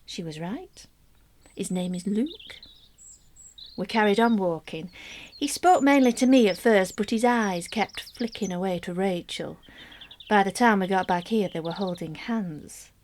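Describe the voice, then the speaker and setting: An actress as a married mother confiding in a gentle radio play. She speaks slowly at a medium pitch, wistful and measured, with intimate near-whispered moments. There is faint noise behind the voice. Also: lively intonation, natural voice. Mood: wry, gentle. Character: wistful married mother narrating her private longings. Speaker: actor in a solo radio play. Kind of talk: gentle dramatic monologue.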